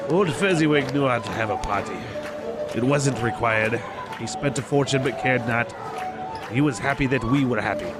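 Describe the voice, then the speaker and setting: A man's voice: medium pitch, moderate pace, a loud crowd, lightly acted. Old male miser , played by a man, grumpy and fearful, theatrical audio drama delivery with music underneath. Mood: wistful. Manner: exclaiming, stammering when frightened.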